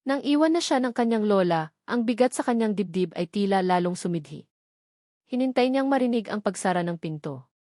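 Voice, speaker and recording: A woman's voice: medium pitch, a little mellow, no room sound, clean studio sound. A woman giving a scripted, dramatic narration of a romance story.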